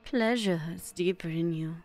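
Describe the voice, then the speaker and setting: A woman's voice: lowish voice, moderate pace, darkish tone, no echo, soft background music, natural voice. A woman voicing a dreamy, poetic scorpion-woman seer who speaks in lyrical fragments.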